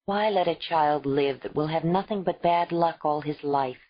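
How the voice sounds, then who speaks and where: A woman's voice: low-pitched, moderate pace. Woman voicing a stern older clan mother. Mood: firm gentle. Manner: firm, reasoning, admonishing. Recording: dull lo-fi audio.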